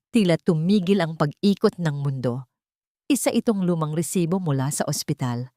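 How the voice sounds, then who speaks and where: A woman narrating a scripted dramatic story in a solemn, deliberate, melodramatic manner. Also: fairly low pitch, darkish tone, dry recording, studio quality.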